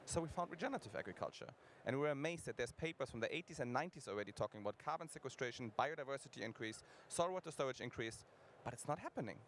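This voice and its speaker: A man pitches his startup on a conference stage, speaking directly to the audience in an engaging way. His pitch sits in the middle range. Clear background noise runs behind him. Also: lively intonation, racing, few pauses.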